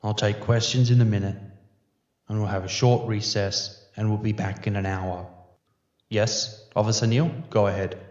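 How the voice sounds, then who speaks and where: A man's voice: on the low side, little pitch movement, a little mellow, lightly acted. A man playing a grave, methodical police investigator giving a formal, clinical briefing in a sci-fi audio drama. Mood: stern, instructing. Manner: measured, formal, clinical delivery. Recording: echoey room, dull muffled sound.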